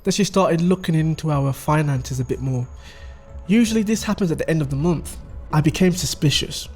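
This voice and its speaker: A man's voice: fairly high pitch, fairly quick, a little mellow, faint music behind. A man playing a scheming middle-aged father, explaining things conversationally in a dramatic audio story. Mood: explanatory, uneasy. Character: scheming middle-aged father recounting his wife's crimes. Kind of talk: dramatic dialogue.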